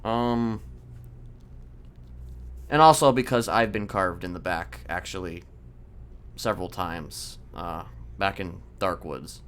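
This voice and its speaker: A man playing a casually sadistic criminal in an online roleplay, talking in a halting, rambling, matter-of-fact way with casual swearing. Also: sits low, a bit bright. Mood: rambling, self-satisfied. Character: rambling, casually sadistic male criminal confessing his violent deeds. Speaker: streamer role-playing a character. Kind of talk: improvised in-character roleplay dialogue. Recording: clear background noise.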